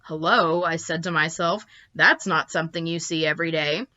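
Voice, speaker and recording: A woman's voice: low voice, moderate pace, pushed and bright. A woman voicing a whimsical, rambling dad who tells a tall tale in a hesitant, theatrical manner, on a slightly dull recording. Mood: wondering. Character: whimsical, rambling middle-aged British dad telling tall tales.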